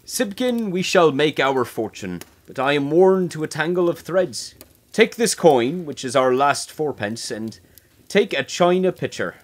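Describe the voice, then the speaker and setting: A woman playing an old tailor, low and weary. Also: very animated, a little slow, slight background noise. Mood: pleading. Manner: fretful, pleading. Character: poor little old male tailor, weary and anxious.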